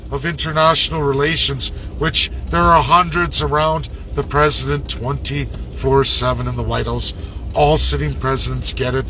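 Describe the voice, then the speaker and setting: A man's voice: a little high, sharp tone, slight background noise, poor audio. A man delivering an impassioned political rant to his followers in an emphatic, combative, profane way, repeating words for weight. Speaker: political commentator.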